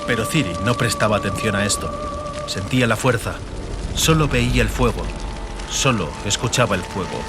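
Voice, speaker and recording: A man narrating a fantasy audiobook dramatically, in measured, emphatic phrases. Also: fairly low pitch. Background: music and atmospheric sound effects.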